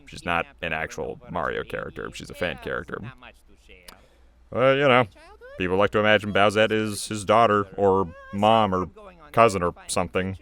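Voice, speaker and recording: A man's voice: low-pitched, expressive, normal speed, fairly bright tone. A man giving casual, off-the-cuff commentary as a video creator, chatty and rambling.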